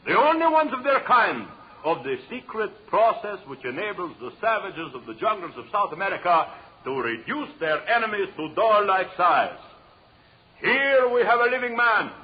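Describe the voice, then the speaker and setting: A man's voice: high-pitched, slight background noise. An actor playing a grandiose, macabre showman lecturer in an old radio drama, addressing his audience with dramatic oratory. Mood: grand, dramatic. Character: showman lecturer unveiling macabre jungle specimens. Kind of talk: 1940s radio acting, grand stage lecture. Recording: dull old radio recording.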